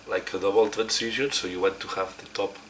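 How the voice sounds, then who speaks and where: Male hobby tutorial host, non-native English, deep voice failing from a cold, explains step by step over clear background noise. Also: fairly even intonation, a little fast, a bit bright. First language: not English. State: hoarse from a cold, voice giving out. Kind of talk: instructional commentary while demonstrating. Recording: clearly noisy recording.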